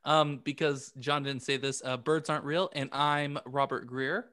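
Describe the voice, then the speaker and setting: A man's voice: mid-range voice, fairly even intonation, unhurried, pushed and bright. A man co-hosting a podcast in casual, joking banter. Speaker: podcast co-host.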